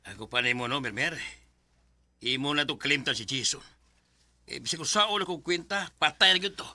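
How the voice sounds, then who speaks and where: A man's voice: a little high, fairly quick, sharp tone, no echo. A man with a Bisaya Filipino accent playing a pushy older father, insistent and emphatic in radio drama style. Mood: insistent, scheming. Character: pushy, meddling older father urging his daughter onward.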